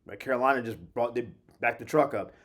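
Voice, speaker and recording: A man talking through team news and contracts on his daily sports show, casual and slangy. His voice is a little hoarse from a late night. He jumps into quick asides and keeps an animated, chatty flow. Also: mid-range voice. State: slightly hoarse. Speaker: sports podcast host. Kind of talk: casual solo sports commentary.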